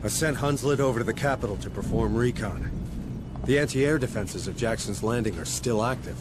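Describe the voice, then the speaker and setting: An actor playing a gruff, stubborn middle-aged marine sergeant and troubled father in video game dialogue, blunt and sardonic. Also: mid-range voice, a little fast, noisy background, close to an everyday voice. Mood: matter-of-fact.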